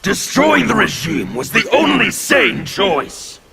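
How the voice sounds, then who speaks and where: An actor playing a menacing undead revolutionary warrior in a video game, with a gravelly, distorted rasp and intimidating, declamatory delivery. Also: male voice, high voice, wildly swooping pitch, slow pace, heavy background noise, exaggerated voice. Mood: defiant menace. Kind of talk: video game villain dialogue.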